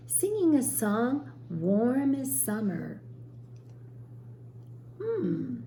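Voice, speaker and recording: A woman reads a picture book to children like a teacher, with lively pitch and an unhurried pace. She stops to explain and question. The room is echoey. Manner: questioning, explains words to young listeners. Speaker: teacher reading a storybook aloud. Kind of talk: expressive children's read-aloud with explanatory asides. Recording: roomy echo, faint background noise.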